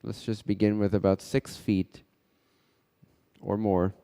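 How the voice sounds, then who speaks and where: Slow, low-voiced man guiding meditation, heavy reverb. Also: level tone, mellow. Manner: measured, halting delivery in short phrases with pauses. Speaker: meditation guide. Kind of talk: guided meditation. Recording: long hall-like reverb.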